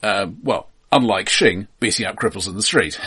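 A man co-hosting a film podcast in casual discussion, speaking in a halting way with dry asides. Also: fairly low pitch, bright, forceful tone, husky.

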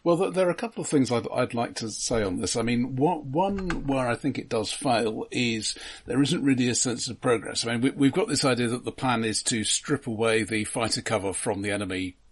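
A man co-hosting a podcast, casually critiquing films in an analytical, halting way with dry humour. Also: medium pitch, breathy edge. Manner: analytical, halting, dryly humorous. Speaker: podcast co-host.

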